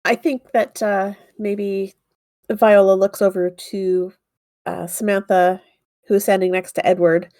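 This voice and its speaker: A woman's voice: medium pitch, little pitch movement, slow, warm tone. A woman playing a tabletop game, chatting casually and narrating what her character does. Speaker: player in a tabletop game podcast. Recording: dry sound with music underneath. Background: background music.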